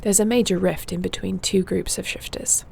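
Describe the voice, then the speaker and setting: A woman playing a gentle, wry warrior shifter with healing magic in an intimate fantasy audio roleplay, speaking softly and soothingly without hurry. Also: mid-range voice, steady tone, normal speed, gravelly, a little hiss or hum. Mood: grave, explanatory.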